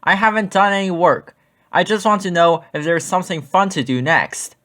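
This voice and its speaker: A man's voice: high voice, moderate pace, bright, forceful tone. A man voicing a cheeky, bossy schoolboy class clown in a children's storybook.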